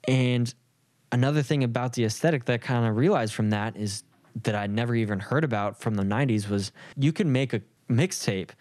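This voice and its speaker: A man's voice: medium pitch, a little mellow, no room sound, clean studio sound. A man talking casually and reflectively in an informal, rambling, slangy way. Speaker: music producer.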